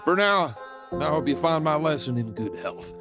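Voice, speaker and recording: Cocky silver-tongued outlaw, highish and very animated voice, showboating western audio drama over music. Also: male voice, normal speed. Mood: wry, resigned. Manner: showboating, chuckling, theatrical. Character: cocky, fun-loving, silver-tongued male outlaw of the Old West. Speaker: outlaw narrator and lead character.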